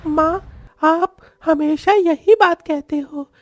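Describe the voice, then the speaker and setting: A woman's voice: extremely high pitch, takes it slowly, dry recording. A woman playing a stubborn, whining young boy who pleads with his parents in a story. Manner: whiny, pleading.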